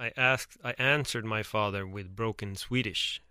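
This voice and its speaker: A male podcast host chats in a relaxed way and thinks out loud as he goes. His voice is bright and crisp and sits lowish for a man. It is recorded dry, with no room sound. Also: moderate pace, no echo. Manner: relaxed, thinks aloud with restarts. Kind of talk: casual conversational interview.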